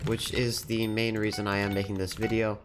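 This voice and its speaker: A teenage boy with a fairly low voice and a clogged, stuffy nose talks casually over his gameplay, upbeat and chatty. Music plays clearly under his desk-mic voice, with keyboard clicks in the background. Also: flat delivery. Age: teens. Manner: chatty, upbeat, quick laughs. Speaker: gaming YouTuber. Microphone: desk microphone. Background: background music and keyboard typing.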